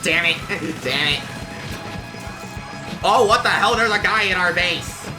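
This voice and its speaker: A man with a slightly high voice talks over clear game music on a casual stream. He rambles informally to his viewers and breaks into exclamations as he plays. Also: lively intonation, slow, poor audio.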